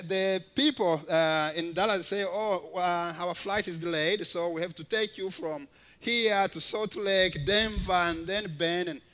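A man in his fifties from Rwanda, a guest preacher giving a testimony sermon in a halting way, with frequent fillers and gentle humor. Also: high voice.

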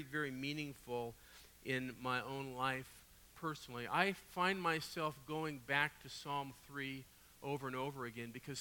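A man preaching as a guest pastor, his voice raspy from a cold, telling stories in an animated, conversational way. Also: on the high side. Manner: animated, conversational storytelling with asides. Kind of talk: sermon. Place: church sanctuary. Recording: long hall reverb.

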